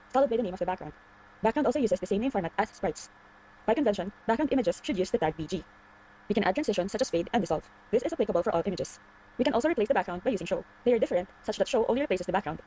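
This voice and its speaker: Very fast-talking woman narrating a tutorial. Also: mid-range voice, expressive, warm tone, a little hiss or hum, rough recording. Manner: clear step-by-step explaining. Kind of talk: scripted instructional narration.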